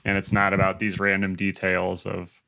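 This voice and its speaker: A man's voice: deep voice, monotone, moderate pace, gravelly, pushed and bright. A man co-hosting a podcast in casual, conversational discussion. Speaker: podcast co-host. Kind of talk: casual chat.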